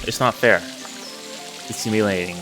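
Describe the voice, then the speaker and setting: A man reads as a devoted young artist in a narrative game. He sounds earnest and sympathetic, with music clearly playing behind the voice. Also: fairly low pitch, normal speed, close to an everyday voice. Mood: indignant sympathy. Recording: music under the voice.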